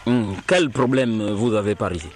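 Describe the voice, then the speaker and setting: A man working as a field reporter, briskly questioning residents outdoors. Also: lowish voice, a little hiss or hum.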